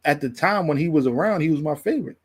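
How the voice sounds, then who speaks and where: A man's voice: a little high, animated, quick pace, slightly rough. A man in a casual sports debate, slangy and often repeating himself. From Brooklyn, New York. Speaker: boxing show panelist.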